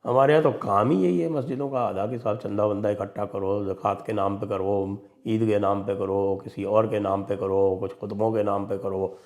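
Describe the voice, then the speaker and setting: A man's voice: lowish voice, fairly even intonation, moderate pace, hardly any pauses, darkish tone. A man delivering opinionated political and religious commentary in an emphatic, sarcastic and mocking manner. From India. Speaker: commentator and show host.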